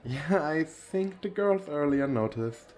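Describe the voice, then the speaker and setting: A man's voice: a little high, lively intonation, slow pace, a little mellow. A man acting a shy, nervous, wealthy businessman on a speed date in an ASMR roleplay, talking in a hesitant, rambling, self-deprecating way. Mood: shy, earnest. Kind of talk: ASMR roleplay, intimate flirty chat.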